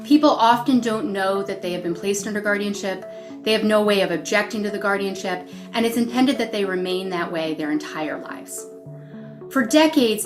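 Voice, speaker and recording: A woman advocate giving a firm, earnest scripted statement. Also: medium pitch. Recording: voice over clear music.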